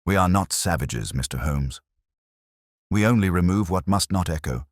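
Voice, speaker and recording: A man's voice: low-pitched, lively intonation, normal speed, no echo, clean studio sound. A man voicing a terse, menacing emissary of a secret society in an audiobook, clipped and direct. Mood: cold, veiled threat. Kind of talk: audiobook character reading.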